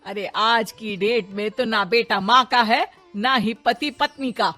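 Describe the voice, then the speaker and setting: A woman puts on a scheming middle-aged stepmother for a cartoon moral tale. She is loud, sarcastic and scornful, forever scolding. Music plays faintly behind. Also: fairly high pitch, takes it slowly, full character voice. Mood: scolding, greedy.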